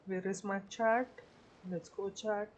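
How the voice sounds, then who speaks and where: A woman's voice: medium pitch, fairly even intonation, slow pace, slight background noise. A woman streamer chatting casually and echoing game lines during a live game stream. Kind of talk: casual live stream chat over gameplay.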